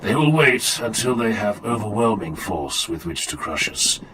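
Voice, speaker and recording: A man playing a stern, relentless warrior chaplain, grave, measured and commanding, in a dramatic audiobook style. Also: lowish voice, big pitch swings. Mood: grave, brooding. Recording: clear noise and ambience behind.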